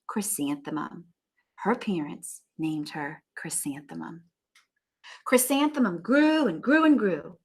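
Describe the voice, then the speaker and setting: A woman teacher reading a storybook aloud to young children, with expressive emphasis and chatty asides to them. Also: lowish voice, takes it slowly, breathy edge.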